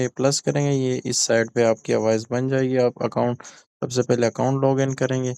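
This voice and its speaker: A man's voice: mid-range voice, gravelly, a little mellow, clean tone. A man narrating an informal tutorial, explaining step by step and repeating himself. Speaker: tutorial presenter.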